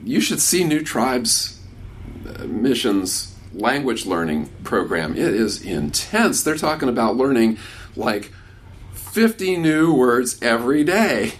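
A man in his sixties talks with a workshop crowd in a relaxed way. He is conversational and often restarts his sentences as he answers questions. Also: mid-range voice, slow, slightly rough. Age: 60s. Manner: warm, conversational, many restarts. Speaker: workshop speaker. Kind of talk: casual talk with questions from the audience.

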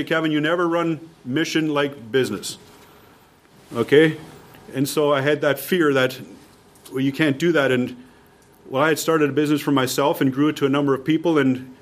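A man with a Canadian accent giving an informal instructional talk to a group, emphatic and repeating his key points. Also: on the high side. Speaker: mission leader presenting at a workshop.

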